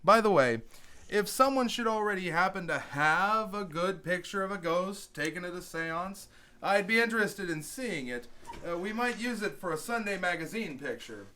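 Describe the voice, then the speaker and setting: An actor playing a composed, skeptical newspaper publisher with a formal manner in a radio drama. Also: male voice, sits high, lively intonation, moderate pace, pushed and bright, slight background noise. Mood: businesslike, sly.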